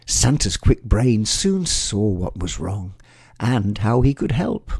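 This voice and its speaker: Male storyteller, husky voice, lively rise and fall, measured verse delivery, Christmas tale. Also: lowish voice, no echo, studio quality.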